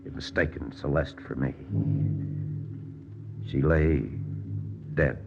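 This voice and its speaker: Very deep-voiced hard-boiled American lieutenant. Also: male voice, noisy background, exaggerated voice. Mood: tense, grim. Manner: terse, hard-boiled, deliberate. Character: hard, tense American intelligence lieutenant narrating his mission. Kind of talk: 1940s-50s radio drama narration. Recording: muffled old radio recording with music.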